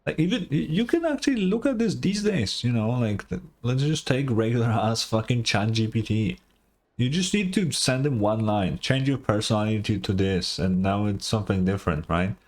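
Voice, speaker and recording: A man's voice: on the low side, expressive, moderate pace. A man giving casual, rambling, informal game reaction commentary with some swearing. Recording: game music faintly behind the voice. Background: game music and dialogue.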